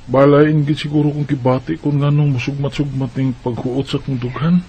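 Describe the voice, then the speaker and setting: A man's voice: medium pitch, flat delivery, normal speed, warm tone, husky, muffled recording, natural voice. A man with a Bisaya Filipino accent playing an ailing, puzzled young farm son in a radio drama. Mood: troubled.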